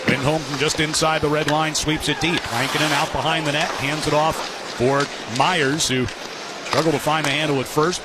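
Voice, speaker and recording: A man doing live radio hockey play-by-play, describing the action in clipped phrases. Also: fairly high pitch. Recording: noisy live arena broadcast. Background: loud arena noise.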